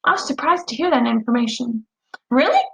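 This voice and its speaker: A woman reads a children's story in a high, bright voice. She narrates with childlike, expressive enthusiasm, and the room adds a noticeable echo. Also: moderate pace. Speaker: teacher reading aloud. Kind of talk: animated children's story read-aloud. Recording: roomy echo.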